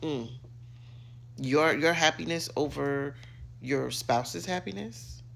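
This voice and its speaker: A middle-aged American woman giving an opinionated rant to camera, emphatic, sassy and theatrical, with dramatic pauses and drawn-out words. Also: deep voice, creaky, fairly bright tone, a little hiss or hum. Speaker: social media commentator.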